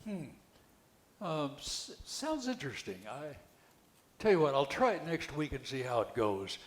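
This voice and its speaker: An older man reading the part of a hesitant, unassuming retired lawyer in an amateur play, halting as he goes. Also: a little high, big pitch swings.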